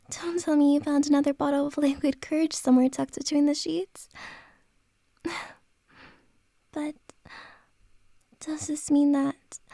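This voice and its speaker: Woman as a shy, whispering female boss for ASMR, high and mellow, slow hesitant delivery. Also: no echo, clean studio sound. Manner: soft whispering, hesitant and halting. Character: flustered woman boss confessing to an employee. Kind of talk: ASMR roleplay.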